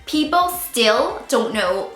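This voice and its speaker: A woman's voice: fairly high pitch, big pitch swings, slow pace, fairly bright tone, echoey room, faint music behind. A woman in her thirties from Northern Ireland with a Northern Irish accent, chatting candidly to camera as a beauty vlogger, joking and tripping over words. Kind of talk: casual chat to camera.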